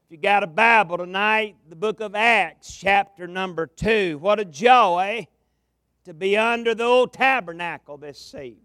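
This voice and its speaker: A man with a Southern US accent preaching a revival sermon in a folksy, drawn-out cadence, with some gravel in his voice, speaking into a pulpit mic in a large tabernacle. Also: sits high, pushed and bright, dry recording, clean studio sound. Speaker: pastor, guest preacher. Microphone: amplified mic at a pulpit.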